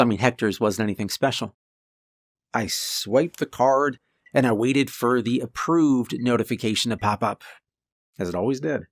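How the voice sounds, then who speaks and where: A man with a deep voice narrating a first-person horror story in a calm, conversational way. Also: no room sound.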